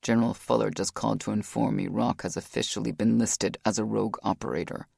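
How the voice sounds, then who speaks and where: A woman narrator voicing a tough male team boss who speaks bluntly and low. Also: steady tone, fast talker, gravelly, darkish tone, husky, dry recording, studio quality, heavily acted. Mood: grim. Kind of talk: audiobook character voice.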